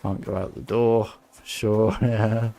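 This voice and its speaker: A man's voice: on the low side, flat delivery, takes it slowly, creaky, warm tone. A man giving casual playthrough commentary as a game streamer, thinking aloud as he goes.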